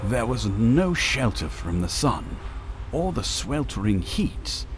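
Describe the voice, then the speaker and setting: A man reading scripted narration for a dramatic audio story in a measured, theatrical manner. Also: mid-range voice, very animated, slight background noise.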